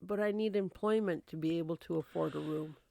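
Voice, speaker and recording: A woman's voice: fairly low pitch, brisk, vocal fry, dark tone, no room sound. Woman playing a polite, earnest young lady, dry sound. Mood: subdued, determined. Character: polite, earnest young woman, newly destitute, seeking work. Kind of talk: old-time radio drama acting.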